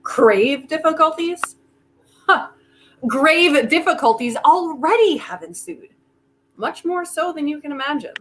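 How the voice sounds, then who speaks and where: A woman acting in a staged play reading as a desperate, quick-witted, volatile woman who argues in a halting, emphatic way, thinking aloud. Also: high-pitched, expressive, fairly bright tone, a little hiss or hum, low-quality recording. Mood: urgent, reasoning.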